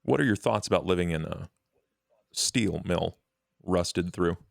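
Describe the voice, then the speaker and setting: A man playing a dry, fussy undead vampire bureaucrat in tabletop roleplay, speaking formally and haltingly with a gravelly, creaky voice. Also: deep voice, dry recording, heavily acted. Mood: wary, dry. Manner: measured, halting, deadpan, formal wording.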